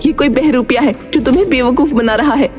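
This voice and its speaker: Woman playing an emotional high-voiced protective mother. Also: fairly quick, a little mellow, soft background music, natural voice. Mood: accusing. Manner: emphatic, emotional. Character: wealthy suspicious mother, fiercely protective, emotional. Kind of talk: dramatic story acting.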